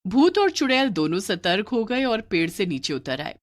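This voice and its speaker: A woman's voice: mid-range voice, sing-song, normal speed. A woman reading a scripted story as its narrator.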